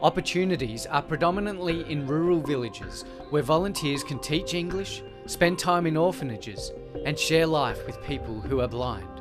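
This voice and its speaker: A man delivers a steady, informative scripted narration. His voice sits a little high for a man. Guitar music plays clearly under him.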